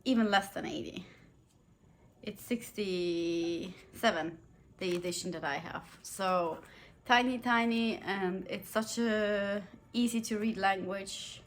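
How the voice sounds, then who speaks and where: A woman's voice: medium pitch, slow, slight background noise. A young woman, a native German speaker, talking casually about books she read, giggling often and using lots of fillers and restarts. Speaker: book reviewer. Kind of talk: casual book review vlog.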